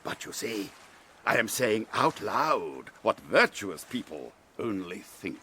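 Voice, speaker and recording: A man's voice: on the low side, sing-song, slow, sharp tone, slight background noise, exaggerated voice. An actor playing a vain, courtly and slyly menacing foreign Count in a period radio drama, mocking and theatrical as he relishes every word. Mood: philosophical, cynical.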